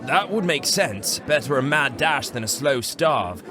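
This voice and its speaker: A man's voice: mid-range voice, sing-song, measured pace. Man acting wry soldier over music. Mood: practical. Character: wry, sensible soldier companion. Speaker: voice actor. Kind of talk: fantasy audio drama acting.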